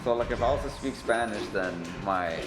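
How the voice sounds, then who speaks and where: A man's voice: on the low side, fairly quick. A young man with a New York accent, chatting in a laid-back, friendly way as a stream co-host. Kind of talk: casual stream chat. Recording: echoey room with music.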